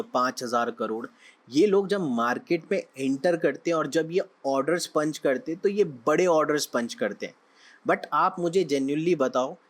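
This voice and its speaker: Slightly hoarse man teaching trading. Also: on the high side. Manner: conversational Hinglish teaching, keeps checking in with listeners. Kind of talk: explaining lesson with analogies.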